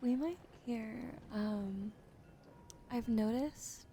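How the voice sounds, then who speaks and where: A woman streamer chatting with viewers during an ASMR livestream, often whispering softly, close to a sensitive studio mic. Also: fairly high pitch, soft background music. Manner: often whispering, soft and unhurried. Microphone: sensitive studio mic on a boom arm.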